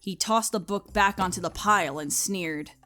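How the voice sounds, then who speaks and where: A woman's voice: medium pitch, moderate pace, pushed and bright. A woman reading scripted story narration as the narrator. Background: music.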